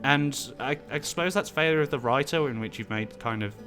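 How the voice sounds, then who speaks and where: A man's voice: medium pitch, brisk, a bit bright, faint music behind. A man hosting a casual Q&A with friends, reading out viewer questions in a dry, teasing way.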